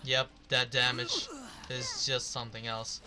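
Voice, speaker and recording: A man's voice: medium pitch, little pitch movement, a little slow. A man doing casual, rambling gameplay commentary, swearing now and then. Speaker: video game streamer.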